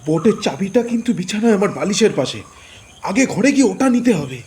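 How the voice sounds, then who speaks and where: An actor plays a nervous man on the run in a horror audio drama. His voice is high for a man and swings animatedly as he protests anxiously. Faint insect-filled noise sits around him. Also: sing-song, brisk, a little mellow. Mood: uneasy. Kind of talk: Bengali audio horror story acting.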